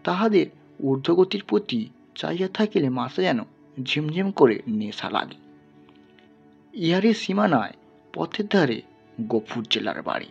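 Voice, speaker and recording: A male story narrator reads literary prose dramatically. His voice is gravelly and husky, with wide melodic swings. Faint music sits underneath. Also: fairly high pitch, very animated, a little slow, pauses often, muffled recording.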